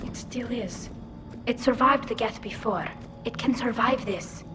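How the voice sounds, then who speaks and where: A woman voicing a gentle, curious alien engineer in a video game. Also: fairly high pitch, measured pace, natural voice. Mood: gentle curiosity. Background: background music.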